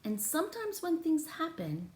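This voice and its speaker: A woman teaching and reading a picture book aloud to young children, interactively, in an exaggerated, expressive way with drawn-out words. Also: extremely high pitch. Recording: echoey room with faint background noise.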